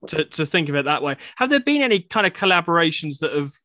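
A man interviewing a guest for a magazine, asking gentle, probing questions with soft hedges. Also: on the high side, big pitch swings, fast, no room sound, clean studio sound.

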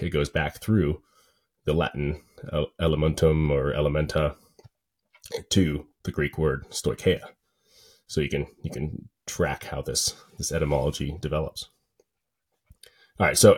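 Guy with a really deep voice, bit husky, lecturing and working stuff out as he goes. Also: darkish tone. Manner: thinking aloud, working through ideas step by step. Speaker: lecturer on literature and scripture. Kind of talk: unscripted explanatory lecture.

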